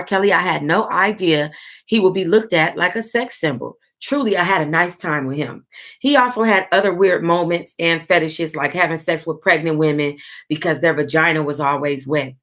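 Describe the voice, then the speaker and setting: A woman reading a book aloud in an informal, expressive way, like a host sharing it with her book club. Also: medium pitch. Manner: expressive, informal, breaks into amused asides. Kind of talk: reading aloud with casual reactions.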